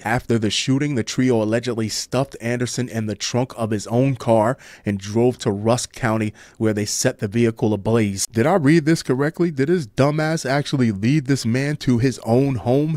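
A man's voice: mid-range voice, a little mellow, dry recording. A man with an American accent reading crime news and commenting on it in a blunt, sardonic, slangy way.

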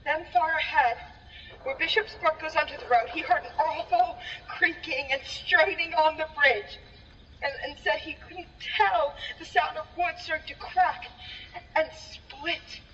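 A woman's voice: sits high, unhurried, fairly bright tone. A woman acting a terrified, god-fearing villager in a horror radio drama, stammering and frantic, recorded in an echoey hall. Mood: fearful, urgent. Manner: frantic, stammering, breathless storytelling. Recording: echoey hall from far off, muffled, noisy.

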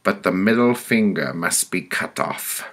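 Stern woman judge acted by a man, storybook villain, commanding, merciless, lifted pitch, gravelly edge. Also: lively intonation, unhurried, fairly bright tone, heavily acted. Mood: cold, imperious. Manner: commanding, pronouncing sentences. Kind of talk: storytelling character voice.

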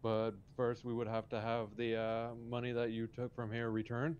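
A man playing a polite, hesitant police officer in improvised game roleplay, speaking in a halting, matter-of-fact way. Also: completely flat delivery, darkish tone, clean tone, natural voice. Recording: dull lo-fi mic with faint rumble.

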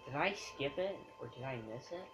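A man's voice: a little high, expressive, normal speed. A man giving casual, offhand gameplay commentary, muttering small exclamations as he plays. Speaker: let's play commentator. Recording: dull lo-fi camera recording of TV. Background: loud game music.

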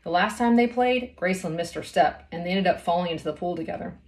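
A woman's voice: fairly low pitch, quick pace, a little mellow. A woman in her thirties reading a children's novel aloud as a storyteller, recorded indoors at home. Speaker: storybook reader. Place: small indoor room at home.

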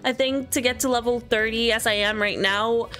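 A woman's voice: fairly high pitch, fairly even intonation, normal speed, fairly bright tone, faint music behind. A woman giving a casual walkthrough commentary of a video game. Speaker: gaming video presenter.

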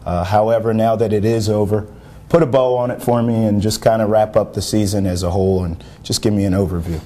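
A man's voice: lowish voice, steady tone, brisk, mellow. A man in his thirties hosting a local sports show in a TV studio, upbeat and playful, on a lapel mic. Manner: upbeat, playful broadcast delivery. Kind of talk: studio interview.

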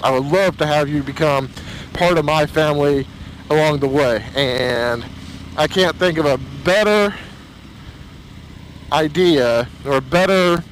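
A hoarse man chats to his camera in a slightly high voice, rambling and emotional, often stopping and restarting his sentences. A faint hiss of background noise sits under him. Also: fairly bright tone, rough recording. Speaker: motorcycle vlogger. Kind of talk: casual chat to camera.

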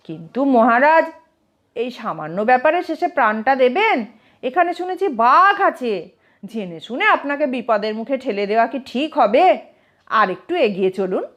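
A woman's voice: a little high, big pitch swings, normal speed, a bit bright. A woman voicing a sly, cheeky male court jester in a comic audio storybook, teasing with mock earnestness. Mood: mock concern.

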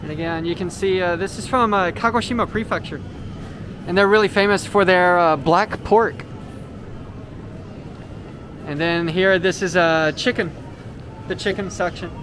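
A man's voice: sits high, normal speed, pauses often. A man hosting a vlog, casually explaining products as he walks through a large indoor supermarket, with many fillers and a camera mic. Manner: relaxed, explanatory, frequent uh fillers. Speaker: vlog host. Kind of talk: casual walking tour commentary. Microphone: handheld camera mic while walking. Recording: noisy store background. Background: store ambience noise.

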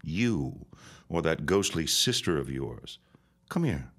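Menacing period-drama reverend, deep and unhurried, self-righteous, taunting, preacherly. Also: male voice, very animated, a bit bright, exaggerated voice. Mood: mocking, threatening. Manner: slow, grandiose, preacherly threatening. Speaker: actor in an audio drama. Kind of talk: period audio drama acting.

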